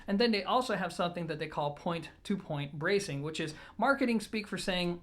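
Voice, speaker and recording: A man with a fairly high-pitched voice talks through a product review. He sounds conversational and explanatory, setting points out in a measured way. Key words get an emphatic stress. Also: fairly bright tone. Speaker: audio gear reviewer, presenter.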